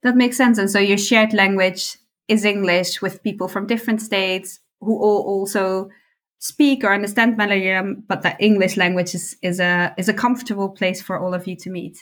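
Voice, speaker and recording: A woman from the Netherlands interviewing a guest, calmly summing up each answer before her next question. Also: medium pitch. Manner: measured, sums up answers. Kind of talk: podcast interview.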